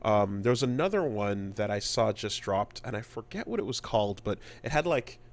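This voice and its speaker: A man co-hosting a gaming podcast in casual chat, halting and repeating words as he thinks aloud. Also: lowish voice, expressive. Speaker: podcast co-host.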